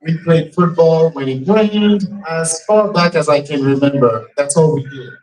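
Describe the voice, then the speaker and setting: A man's voice: on the high side, a little mellow. A man from Haiti, telling a self-mocking personal story to a live audience. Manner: conversational, self-mocking, builds to punchlines. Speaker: timekeeper and storyteller. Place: restaurant event room. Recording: distant mic in a live venue.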